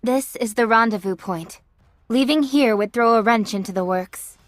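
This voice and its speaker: A woman's voice: on the high side, moderate pace, dry recording, lightly acted. A woman playing a businesslike young female android squad leader in a video game, dutiful and curt, speaking formally and with composure. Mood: firm. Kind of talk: English video game voice acting.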